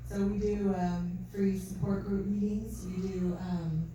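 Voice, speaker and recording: A soft-spoken woman giving an earnest, faith-filled talk at an event, recorded from a distance in a large hall. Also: medium pitch, fairly even intonation, a little slow. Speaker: event speaker. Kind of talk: testimony talk. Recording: distant mic, echoey hall, faint noise.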